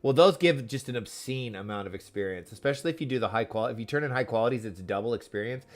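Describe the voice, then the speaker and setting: A man's voice: medium pitch, quick pace. Chatty man livestreaming a game. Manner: chatty, reacts aloud to the game and viewers. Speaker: livestreamer playing an online game. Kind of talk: casual livestream chat and commentary.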